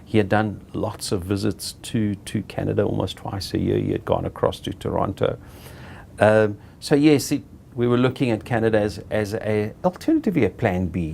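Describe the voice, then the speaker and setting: A middle-aged South African man being interviewed, telling his own story in an unhurried, halting way and often correcting himself. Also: on the low side, gravelly, a little mellow, slight background noise. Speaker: interviewee, property director. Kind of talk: reflective personal storytelling.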